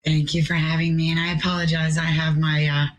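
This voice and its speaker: List: woman, hoarse and raspy, chatty guest, fillers, even pitch. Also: brisk. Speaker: tour coordinator guest. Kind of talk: casual interview chat.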